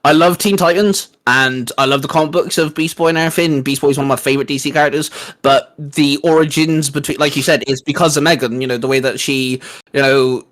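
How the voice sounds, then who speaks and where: Casual, higher-pitched man chatting as co-host. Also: fairly even intonation, quick pace, a bit bright. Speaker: co-host of a geek culture show. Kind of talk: casual fan chat.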